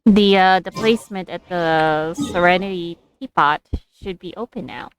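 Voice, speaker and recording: A woman livestreaming a video game, thinking aloud casually in short, halting phrases. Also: on the low side, soft background music, low-quality recording. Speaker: game streamer. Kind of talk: casual livestream gameplay commentary.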